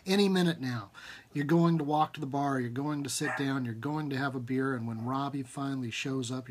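A man in his forties with a husky voice reads his own fiction aloud informally and expressively, with emphatic rhythm and dramatic pauses, at a desk in a room at home. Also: mid-range voice, slight background noise. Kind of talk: expressive literary reading, informal. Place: small book-lined room at home, indoors.

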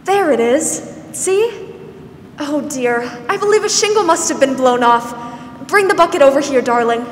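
A young woman playing a warm, pleasant, fussing woman of sixty in a 1940s-style radio drama on stage. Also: sits high, animated, moderate pace. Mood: warm, practical. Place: large theatre stage, indoors. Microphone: stand microphone, masked. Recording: echoey stage hall, faint noise.

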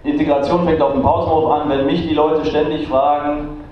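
A man's voice: on the high side, level tone, a little fast. A man who works as a teacher, talking casually to a large audience in a theatre, rambling with lots of fillers. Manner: chatty, rambling, many fillers. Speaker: teacher giving a talk on stage. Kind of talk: casual stage talk. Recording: distant, roomy, muffled hall recording with crowd.

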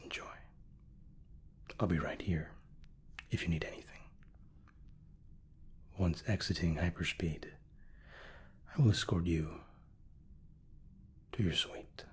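A man in his thirties whispering softly and courteously as a flight attendant in an ASMR roleplay, close to the microphone. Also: low-pitched. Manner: soft, slow, courteous attentive steward.

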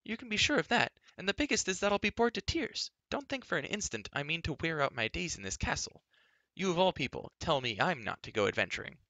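A man's voice: fairly high pitch, very animated, fast, sharp tone, no room sound, voice pushed up, heavily acted. A man voicing a spirited, headstrong, talkative young girl in a storybook, chattering emphatically and with indignation. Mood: indignant. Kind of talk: acted audiobook dialogue.

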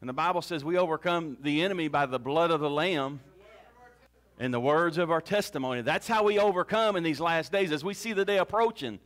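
A man's voice: fairly high pitch, brisk, a bit bright. A man, a pastor exhorting his congregation with fervent restarts, on a handheld mic in a small church. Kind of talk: church service testimony lead-in. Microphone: handheld mic, far camera.

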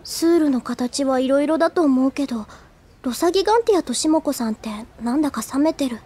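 Very high-pitched, earnest schoolgirl. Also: expressive, a little fast, dark tone. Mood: earnest, worried. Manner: earnest, easily flustered. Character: earnest, flustered teenage schoolgirl. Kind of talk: Japanese anime audio drama acting.

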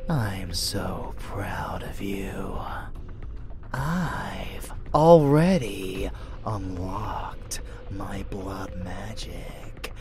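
A man playing an unhinged, swaggering, seductive vampire lover in a dark romance audio roleplay, slow and theatrical, often slipping into a gravelly whisper. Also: low voice, lively intonation, heavily acted. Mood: smug, intimate.

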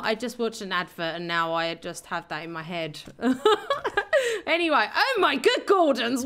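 Woman gamer, young adult, hoarse, high and bouncy pitch, giggly self-talk commentary. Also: pushed and bright, a little hiss or hum. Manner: chatty, giggly, talks to herself and the viewers. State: hoarse, losing her voice. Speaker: game channel host. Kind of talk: casual Minecraft let's play commentary.

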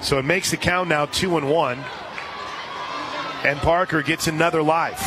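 An American man doing live radio play-by-play commentary of a softball game. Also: on the high side, a little slow, background noise. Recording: outdoor stadium broadcast with crowd and music. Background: ballpark crowd and music.

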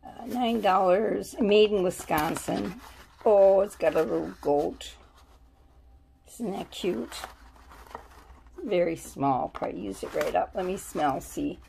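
Haul vlogger, woman, low-pitched and gravelly, unhurried label-reading chatter over faint hiss. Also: darkish tone, poor audio. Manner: chatty, musing aloud while reading labels. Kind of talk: casual shopping haul show-and-tell. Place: at home, indoors.

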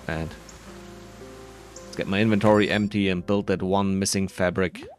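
Animated man rambling through a game. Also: medium pitch. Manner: rambling, self-deprecating, muttering asides. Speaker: gamer narrating his playthrough. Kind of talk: casual game commentary. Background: faint game music.

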